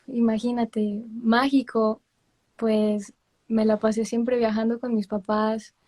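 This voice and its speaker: A woman's voice: on the high side, flat delivery, slow, dark tone. A young woman with a Mexican accent, a guest telling stories in a casual interview. She laughs often and drops in English words. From Miami, with long stays in Mexico.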